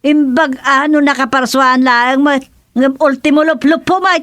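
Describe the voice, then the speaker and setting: A woman's voice: sits high, steady tone, a little slow, fairly bright tone, dry recording. An actress playing a scolding, suspicious middle-aged mother with a Filipino Ilocano accent, nagging and exclaiming in a radio comedy drama.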